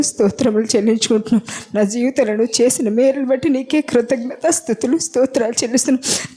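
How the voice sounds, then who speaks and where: A woman in a church congregation prays aloud, fervent and formal, with flowing devotional phrasing. Her voice sits very high. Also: mellow, slightly rough. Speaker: congregation member praying aloud. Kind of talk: formal spoken prayer. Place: church service.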